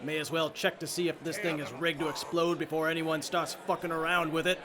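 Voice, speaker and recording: An edgy raider tech acted by a man with a fairly high voice, coarse and exasperated. It is a post-apocalyptic radio play, with a busy crowd behind him. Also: little pitch movement, fairly quick, lightly acted. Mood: irritated. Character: edgy, irritable male raider tech tinkerer. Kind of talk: post-apocalyptic audio drama acting.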